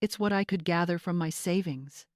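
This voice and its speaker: A woman voicing a calm, soft-spoken, quietly steely mother of the groom in a narrated story. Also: on the low side, normal speed, no echo, clean studio sound, close to an everyday voice.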